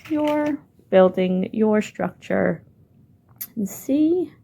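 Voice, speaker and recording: Lady librarian walking kids through a candy building craft, slow and gravelly, lower voice, keeps asking questions. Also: lively intonation, dark tone. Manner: encouraging, asks the viewer many questions. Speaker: children's librarian. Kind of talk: hands-on craft lesson for kids.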